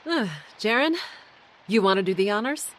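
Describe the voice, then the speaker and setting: A woman's voice: mid-range voice, animated, moderate pace, slight background noise, lightly acted. An actress playing a casual, squeamish woman in a naturalistic audio drama, heard from a distance.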